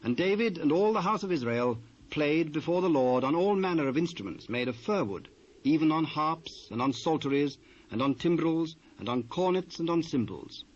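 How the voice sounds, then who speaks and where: A man's voice: a little high, animated. British male narrator, muffled old tape. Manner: measured, formal. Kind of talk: scripted narration, dramatised Bible reading. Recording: dull, noisy old cassette.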